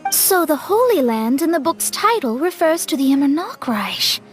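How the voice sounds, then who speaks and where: An actress voicing a composed young woman adventurer who reasons aloud in a measured way. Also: high voice, big pitch swings. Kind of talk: game voice acting. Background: music.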